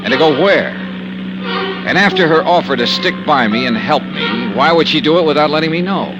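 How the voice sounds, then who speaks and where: An actor playing a wry, skeptical American investigator, narrating and talking in a 1950s radio drama. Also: male voice, fairly high pitch, expressive, fairly quick, highs cut off, lightly acted. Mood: brisk, wry. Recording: vintage radio broadcast with music.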